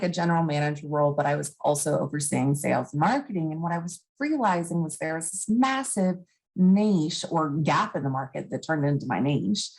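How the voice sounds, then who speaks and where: Low, gravelly Texan woman. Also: rough recording. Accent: Texan, softened by years in Australia. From Texas. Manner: friendly, folksy, laughs easily. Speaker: business coach, podcast guest. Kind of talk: casual interview chat. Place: family farm.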